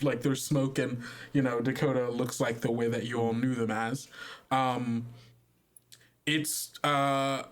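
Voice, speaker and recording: A man hosting as game master, improvising in a halting, thinking-aloud way. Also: mid-range voice. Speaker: game master and podcast host. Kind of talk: improvised tabletop game narration.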